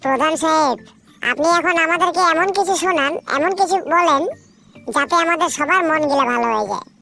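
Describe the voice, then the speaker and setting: A performer voicing a cheerful, polite talking bird in a cartoon, with a childlike high-pitched voice. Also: gravelly, pushed and bright, heavily acted. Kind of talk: cartoon dialogue.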